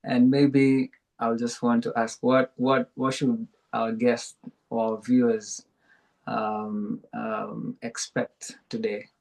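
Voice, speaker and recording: A man's voice: mid-range voice, flat delivery, slow, gravelly, darkish tone, low-quality recording. A man hosting a podcast interview, reading sponsor plugs and asking questions with lots of hesitant "um"s. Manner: hesitant, many "um"s. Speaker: podcast host.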